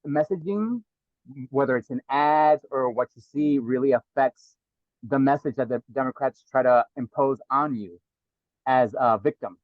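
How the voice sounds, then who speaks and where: A man's voice: mid-range voice, measured pace, no room sound. New man, dry muffled audio. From New York (South Bronx). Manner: earnest, reflective, repeats words as he thinks. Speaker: guest. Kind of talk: casual interview talk.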